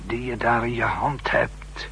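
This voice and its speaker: An actor playing a stern, manipulative secret agent with a deliberate, insistent manner in a 1960s radio drama. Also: male voice, medium pitch, vocal fry, fairly bright tone, poor audio. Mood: slow, insistent. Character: stern, manipulative male secret-service accomplice. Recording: hissy, humming 1960s radio recording.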